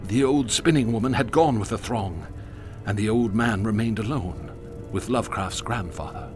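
A man narrating a horror radio drama in an ornate, measured, theatrical manner. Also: fairly low pitch. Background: music.

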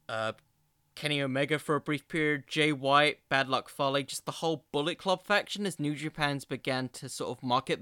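A man hosting a solo podcast, giving conversational commentary in a musing, halting way with emphatic bursts. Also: a little high, bright, forceful tone, smooth, no echo, studio quality. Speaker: podcast host.

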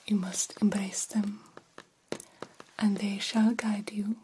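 A woman voicing a formal, grandiose vampire doctor in a breathy ASMR whisper, slowly and theatrically mentoring a fledgling. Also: mid-range voice, level tone, a little mellow, slight background noise, close to an everyday voice. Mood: coaxing, instructive. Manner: slow, deliberate, formal and theatrical. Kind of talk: ASMR roleplay.

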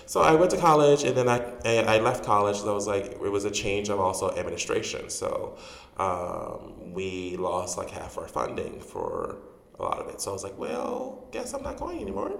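A man's voice: on the low side, wildly swooping pitch, normal speed, gravelly, reverberant, in a large hall. A man in his forties from Chicago, chatting animatedly as a guest in an interview and laughing often. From Chicago, USA. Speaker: guest, drag performer and actor. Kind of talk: casual interview.

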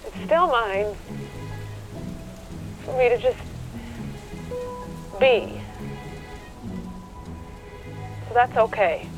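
A woman's voice: on the high side, lively intonation, slow pace. An actress in a radio play playing a grieving, exasperated rural woman who pleads and argues, stammering at times. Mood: subdued, weary. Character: grieving, angry rural woman arguing with God. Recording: thin phone-like sound with music.

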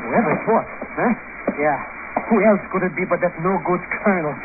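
An actor playing an excitable, cowardly comic sidekick in an old radio drama, stammering and babbling in a flustered way. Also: male voice, high voice, fairly quick, lightly acted. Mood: nervous. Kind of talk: 1950 radio drama acting. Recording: noisy, tinny old radio broadcast recording.

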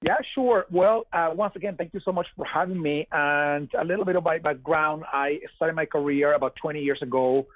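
A Venezuelan man in his forties with a Venezuelan Spanish accent and a fairly high voice. He chats casually as an interview guest, restarting words and leaning on "you know". The sound is dry and thin, like a phone line on a web call. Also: brisk, hardly any pauses, no room sound. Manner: repeats words, many "you know" fillers, laughs easily. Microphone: over a web call.

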